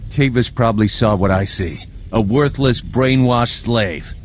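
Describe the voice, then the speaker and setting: An actor playing a bitter, taunting renegade army captain in a video game, with a sneering, contemptuous manner. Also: male voice, medium pitch, measured pace, a little hiss or hum, natural voice. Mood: bitter contempt.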